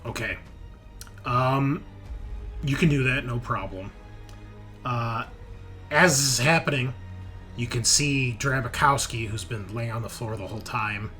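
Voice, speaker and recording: A man's voice: mid-range voice, unhurried, long pauses, sharp tone. A man acting as game master, narrating a tabletop roleplaying game and explaining rulings to players in a conversational way. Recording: music playing behind the voice.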